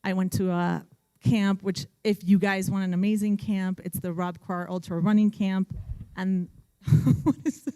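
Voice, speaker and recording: A woman's voice: medium pitch, level tone, measured pace, dark tone, clean tone, no echo. A woman from Colombia, reflecting on her own story as an interview guest. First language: Spanish. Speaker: guest, runner and immigration lawyer. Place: live event stage.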